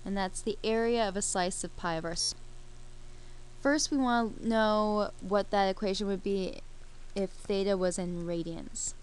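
A woman's voice: medium pitch, unhurried, slight background noise, low-quality recording. A woman teaching a math lesson over a screen recording, explaining each step while she works the problem.

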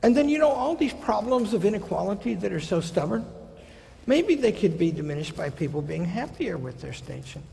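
A man in his sixties, a college president, gives a seminar lecture from a podium, conversational with wry asides and slowing for quotations. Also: sits high, big pitch swings, vocal fry, warm tone. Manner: conversational, wry asides, slows down for quoted passages. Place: large lecture hall stage at a podium. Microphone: podium microphone. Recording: hall reverb.

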